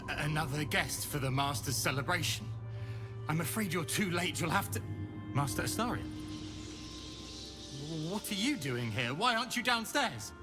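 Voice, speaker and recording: An actor playing a fretful, fanatically devoted servant of a vampire lord in a video game, flustered and ominous, prone to stammering. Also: male voice, a little high, lively intonation, normal speed, pauses often, over music, natural voice. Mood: startled. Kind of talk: dramatic video game dialogue.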